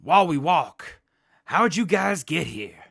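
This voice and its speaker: A man's voice: fairly high pitch, sing-song, slow pace, creaky, fairly bright tone, no echo, studio quality, full character voice. A man playing a hospitable wasteland survivor, speaking casually and plainly in an audio drama. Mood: weary, welcoming. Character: hospitable male soldier survivor of a wasteland band.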